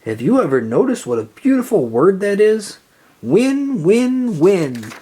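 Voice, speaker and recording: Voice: mid-range voice, sing-song, a little slow, dark tone, breathy edge, pitched above the performer's own voice. A narrator voicing an earnest, anxious boy who manages a baseball team in a children's storybook. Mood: dreamy.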